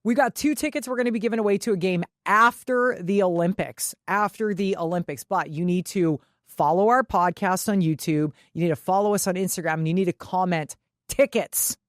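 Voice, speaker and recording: A woman's voice: fairly low pitch, brisk, no echo, studio quality. A woman co-hosting a sports podcast, talking casually in a blunt, salty, slangy way. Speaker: podcast co-host, broadcaster.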